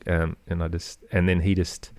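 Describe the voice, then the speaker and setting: Very deep-voiced man, gravelly, chatting. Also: level tone, normal speed, a little mellow, no room sound, clean studio sound. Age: middle-aged. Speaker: podcast guest, audio storyteller and producer. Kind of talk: casual interview conversation.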